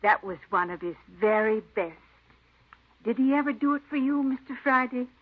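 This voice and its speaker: An actress playing a folksy, forgetful, kindly elderly aunt who rambles in an old radio drama. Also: female voice, fairly high pitch, lively intonation, moderate pace. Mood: proud, doting. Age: older. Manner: rambling, folksy rural phrasing. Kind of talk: 1950s radio drama acting. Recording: dull old radio recording.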